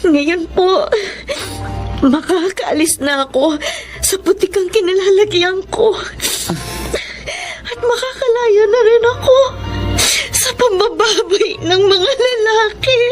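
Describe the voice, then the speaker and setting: A woman's voice: extremely high pitch, takes it slowly, soft background music. A woman acting a humble, grateful young single mother in a Filipino radio drama, polite and earnest. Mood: tearful gratitude.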